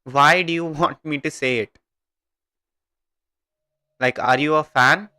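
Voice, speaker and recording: A man's voice: fairly high pitch, takes it slowly, pushed and bright, no echo. A man with an Indian accent chatting casually with viewers on a gaming livestream, informal and hesitant, often repeating himself.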